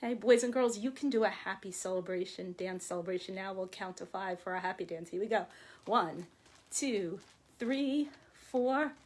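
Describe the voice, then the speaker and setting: A woman in her forties, a children's librarian reading aloud to young children with expressive, deliberate phrasing. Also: mid-range voice. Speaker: children's librarian hosting storytime. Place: small room at home or office, by window. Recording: clearly noisy home video with clicks.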